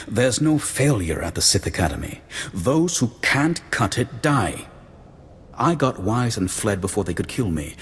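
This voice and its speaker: Very raspy, defiant runaway father. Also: fairly low pitch, in a large hall, exaggerated voice. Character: defiant, bitter runaway father, failed dark-order acolyte. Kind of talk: video game cutscene voice acting.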